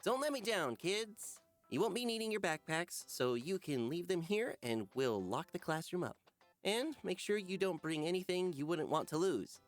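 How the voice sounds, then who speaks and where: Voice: medium pitch, animated, normal speed, faint music behind, natural voice. An actor voicing an upbeat, dry-humored male middle school teacher in a visual novel, talking theatrically to a class. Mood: announcing, coaxing. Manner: theatrical, addressing a class of children. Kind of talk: animated visual novel character acting.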